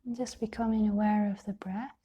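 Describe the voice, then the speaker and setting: Very soft, breathy woman guiding meditation. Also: mid-range voice, little pitch movement, dull audio. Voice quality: soft, breathy, half-whispered. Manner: gentle, soothing, long pauses between short phrases. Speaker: meditation teacher. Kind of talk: guided meditation.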